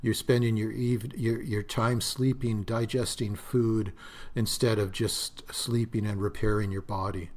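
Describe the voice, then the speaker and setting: A man in his sixties hosting a solo podcast, talking in a rambling, reflective way with frequent fillers; his voice is slightly raspy and dry. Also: on the low side, flat delivery, unhurried, a little mellow. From Canada. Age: 60s. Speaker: podcast host.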